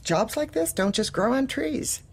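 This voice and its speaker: Husky female voice, cold corporate manager, clipped, controlled, audio drama. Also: sits high, expressive, a little slow, a little mellow, full character voice. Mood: coolly threatening. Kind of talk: audio drama acting, dark comedy.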